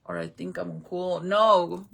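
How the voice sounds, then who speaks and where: Casual woman streamer, first language Spanish, gravelly fry, lively up-and-down delivery, swears easily. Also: on the low side, takes it slowly. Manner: chatty storyteller, swears casually. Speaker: live streamer. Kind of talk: casual chat with viewers.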